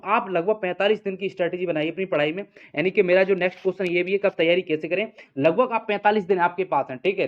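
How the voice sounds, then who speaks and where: A man giving an informal, explanatory exam update to students as a coaching teacher, often repeating himself and checking in. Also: high-pitched. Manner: repetitive, explanatory, frequent check-in tags. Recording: dull, lo-fi voice recording.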